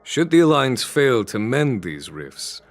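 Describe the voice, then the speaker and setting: A man playing a composed, courteous commander in a video game, speaking in a measured, formal, thoughtful manner. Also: medium pitch, big pitch swings, soft background music. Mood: measured, diplomatic. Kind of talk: scripted video game dialogue.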